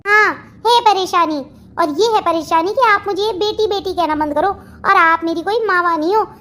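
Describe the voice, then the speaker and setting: A performer plays a young newlywed daughter-in-law in a family cartoon. Her voice is extremely high, and she talks curtly, with irritable, sarcastic bite. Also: normal speed, bright, forceful tone. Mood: irritated. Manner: curt, snappish, sarcastic. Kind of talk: Hindi family comedy cartoon dialogue.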